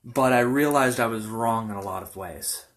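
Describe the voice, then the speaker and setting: A man in his early twenties, a pastor, telling his personal testimony in a halting, earnest way with many fillers and restarts. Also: medium pitch, fairly bright tone. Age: young adult. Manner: earnest, informal.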